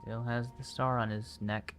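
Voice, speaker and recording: A man's voice: medium pitch, level tone, moderate pace, a bit bright. A man giving casual, off-the-cuff commentary while livestreaming a video game.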